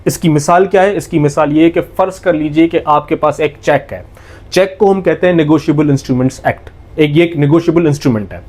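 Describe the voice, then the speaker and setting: A Pakistani-accented man with a fairly high voice teaches in a methodical lecture style. He lays out each point step by step and restates it with emphasis, dropping English technical terms into his explanation. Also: darkish tone. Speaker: law lecturer.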